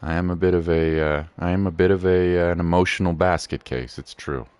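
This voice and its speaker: A man's voice: sits low, steady tone, brisk, a little mellow, clean tone, dry recording. A man giving casual live gaming commentary as a streamer, rambling, joking and slangy. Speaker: game streamer. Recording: game music behind voice.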